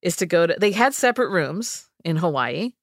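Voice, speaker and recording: A woman hosting a podcast, telling a story in casual chat, animated and jokey. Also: lowish voice, moderate pace, dry recording, studio quality. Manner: animated, jokey, mimics voices.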